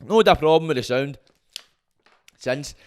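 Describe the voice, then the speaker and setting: Booming Glaswegian man, sweary podcast host. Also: lively intonation, moderate pace, smooth. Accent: Scottish, Glaswegian. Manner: loud booming voice, sweary and rambling. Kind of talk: casual monologue.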